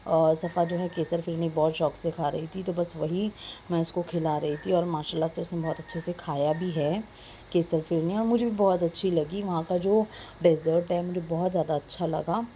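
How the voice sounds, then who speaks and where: A young woman narrates a vlog in a soft, mellow voice that sits a little low. Her throat is sore and a bit hoarse, and she chats casually, dropping in English words. There is faint noise behind the recording. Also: steady tone, normal speed. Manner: conversational, mixes in English words. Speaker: vlogger narrating a family outing. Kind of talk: casual voice-over narration, chatty.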